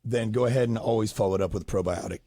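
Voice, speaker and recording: A man talking as a guest on a casual studio show, explaining health products in an earnest, measured way. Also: fairly low pitch, mellow, no echo. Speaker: probiotics company guest.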